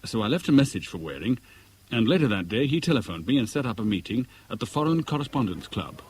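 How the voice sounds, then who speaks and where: A man's voice: mid-range voice, very animated, fast talker, a little mellow, slight background noise, natural voice, rough recording. An actor playing a determined, lovelorn man in a 1970s radio drama, articulate, literary and earnest. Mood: brooding. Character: determined, lovelorn man searching Hong Kong for missing woman. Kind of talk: 1970s British radio drama, first-person narration and dialogue. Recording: radio drama with music or club crowd behind.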